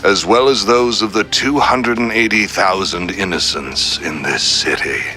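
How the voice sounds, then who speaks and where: Deep, forceful, suave crime boss. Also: male voice, a little slow, faint music behind. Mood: veiled threat. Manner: formal, grandiose, calmly threatening. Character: suave, menacing male crime syndicate boss, coldly polite. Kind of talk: scripted villain monologue in a video game.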